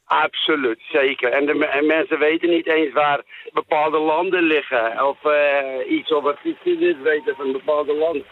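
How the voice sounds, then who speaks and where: A man calling a radio show to give his opinion, speaking haltingly as he searches for words. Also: a little high, fairly even intonation. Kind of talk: casual phone-in opinion. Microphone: telephone. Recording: narrow tinny phone line.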